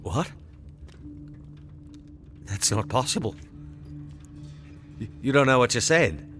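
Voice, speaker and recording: A proud lord of the manor, stubborn and emphatic, his medium male voice moving in lively swings. It is period gothic audio drama, lordly in tone, with light music behind. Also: medium pitch, slow pace. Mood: disbelieving, defensive. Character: proud, stubborn aristocratic husband and hunter.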